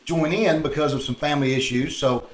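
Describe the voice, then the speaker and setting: A man's voice: on the high side, fairly quick. Echoey American man hosting casually. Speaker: co-host of radio troupe show. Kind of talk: casual, chatty show introduction. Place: indoor room, video call. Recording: roomy, echoing video-call sound.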